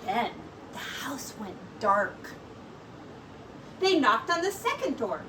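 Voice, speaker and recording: Expressive high-voiced woman reading a story. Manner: expressive, deliberate storytelling with brief asides to listeners. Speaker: teacher. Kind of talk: reading a children's story aloud. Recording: distant mic with faint background noise.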